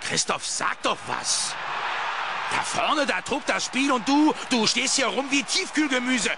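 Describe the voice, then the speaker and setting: A man's voice: extremely high pitch, animated, measured pace, gravelly, noisy background. A man dubbing football footage for comedy in a pleading, fussing way. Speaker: comedy dubber. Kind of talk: comic dub of football footage.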